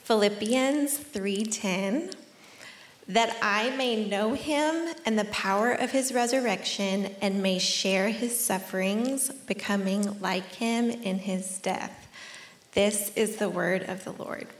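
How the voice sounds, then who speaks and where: Woman reading scripture in a roomy church. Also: medium pitch. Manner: friendly, reads scripture slowly. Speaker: scripture reader. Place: church sanctuary. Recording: roomy church sound with faint noise.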